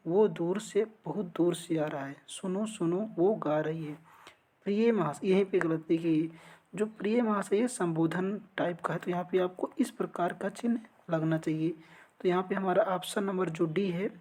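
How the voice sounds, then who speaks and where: A woman's voice: fairly low pitch, normal speed, mellow. A woman teaching an exam-prep lesson, reading out quiz questions and answering them in an instructional way. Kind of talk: lesson going through multiple-choice quiz questions.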